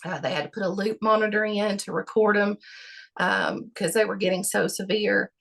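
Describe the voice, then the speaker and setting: A woman with a gravelly voice answering interview questions about her health, halting and full of fillers. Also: medium pitch, fairly even intonation, fairly bright tone. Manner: halting, many fillers and restarts. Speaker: patient guest.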